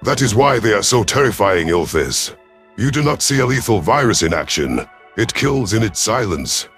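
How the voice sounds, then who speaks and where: Sci-fi audio story: veteran alien lizard commander acted by a man, deep, measured, shrewd, dryly composed, faint music bed. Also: big pitch swings, a bit bright. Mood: grave, confident. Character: older male alien reptilian chief hunter, cunning, composed veteran.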